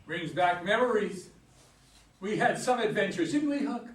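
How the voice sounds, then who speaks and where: A man's voice: high voice, animated, moderate pace. A man acting a feisty older rural American in a play reading, folksy and exclamatory, with an American accent. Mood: jovial, teasing. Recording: distant mic in echoey room.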